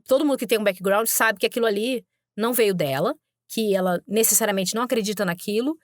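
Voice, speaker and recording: A woman's voice: a little high, no echo, clean studio sound. A woman hosting a podcast, giving sarcastic, emphatic commentary on video clips she plays. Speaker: podcast host.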